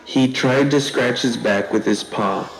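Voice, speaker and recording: A storyteller narrating a scripted children's tale in a deliberate, theatrical way. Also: mid-range voice, vocal fry, low-quality recording. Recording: live show with audience noise.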